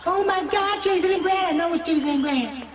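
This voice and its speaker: A woman voicing a sassy, foul-mouthed young female puppet in improvised comedy, bossy and quick to exclaim. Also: extremely high pitch, crowd noise. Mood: excited. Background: music.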